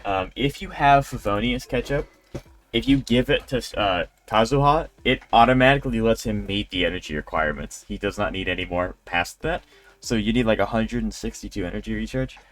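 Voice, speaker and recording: Low-voiced man streaming over game music. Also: fairly quick, fairly bright tone. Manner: rambling, conversational. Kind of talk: casual livestream chat. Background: video game music.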